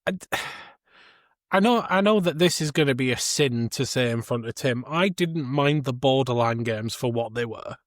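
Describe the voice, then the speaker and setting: A man's voice: medium pitch, expressive, moderate pace, no room sound, clean studio sound. A man co-hosting a podcast, giving his opinions on films in casual chat. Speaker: podcast co-host. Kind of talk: casual film review chat.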